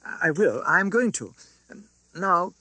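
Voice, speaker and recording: A male reader plays an elderly gentleman banker from a classic novel audiobook. He is fussy and kind, stammering through formal business talk. His voice is bright and animated, with some rasp, recorded close and dry. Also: high-pitched, slow pace, no echo, pitched above the performer's own voice, exaggerated voice, low-quality recording. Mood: agitated, urging. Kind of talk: dramatised audiobook reading.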